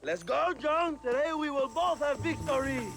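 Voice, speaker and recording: A man's voice: extremely high pitch, animated, normal speed, vocal fry, heavily acted. An actor playing a vain, womanizing Mexican rebel leader in a video game cutscene, theatrical and grandiose, with a Mexican Spanish accent. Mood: rousing, grandiose. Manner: pompous, theatrical, effusive, peppering English with Spanish.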